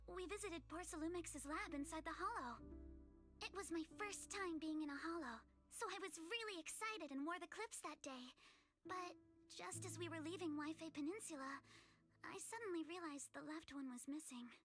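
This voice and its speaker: A polite, guilt-laden young woman in a game. Her voice is extremely high and bright, with gentle, formal phrasing. Soft music sits behind her. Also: normal speed. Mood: wistful. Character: polite, wistful young woman carrying guilt over her lost father. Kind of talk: dramatic game voice acting.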